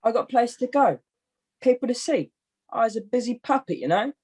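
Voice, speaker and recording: A young woman acting a laid-back, self-important puppet with husky, slangy, casual talk in an amateur puppet show. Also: fairly high pitch, animated, moderate pace, darkish tone. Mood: casual, impatient.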